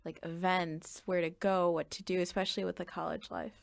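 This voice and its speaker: A woman's voice: fairly low pitch, flat delivery, fairly quick, vocal fry. A young woman whose first language is Urdu, chatting casually on a panel podcast, laughing often and acting out little exchanges. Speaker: guest.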